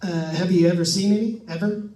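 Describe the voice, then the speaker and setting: A jittery ghost-hunt reporter acted by a man with a very high-pitched voice, halting and excitable. It is a radio suspense play, recorded in a roomy, echoing space. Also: natural voice. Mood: uneasy. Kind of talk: old-time radio suspense drama acting. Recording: roomy, slightly distant stage pickup.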